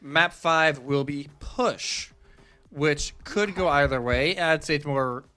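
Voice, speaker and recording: A man giving conversational, explanatory analysis as a live esports shoutcaster. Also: fairly high pitch, takes it slowly. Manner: casual, explanatory. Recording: music behind the voice. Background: music.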